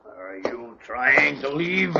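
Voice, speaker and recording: A gravelly, forceful old-world manservant, stern and threatening, barking archaic orders in swooping tones. It is an old radio drama. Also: male voice, mid-range voice, sing-song, slow, background noise, heavily acted. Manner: gruff, commanding, archaic. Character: stern armed manservant from 1804, loyal and threatening. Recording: dull old radio recording.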